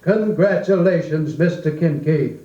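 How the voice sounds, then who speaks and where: An actor playing a genial, folksy American livestock judge at a county fair in a 1940s radio drama, orating kindly. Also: male voice, on the high side, a little slow, heavy background noise, lightly acted. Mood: proud, formal. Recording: echoey, dull 1940s record.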